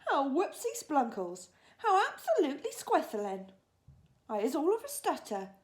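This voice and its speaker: A woman voicing a big, gentle old male giant from a storybook who jumbles his words eagerly. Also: fairly high pitch, very animated, normal speed, pitched above the performer's own voice. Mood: overjoyed.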